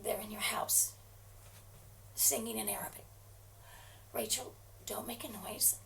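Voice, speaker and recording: A woman's voice: sits high, lively intonation, a little slow, gravelly, far from the mic, a little hiss or hum, exaggerated voice. An actress playing a desperate, frightened woman making phone calls for help, pleading, panicking and at times whispering. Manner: frantic, pleading, shifting to hushed whispers. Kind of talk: dramatic monologue acting.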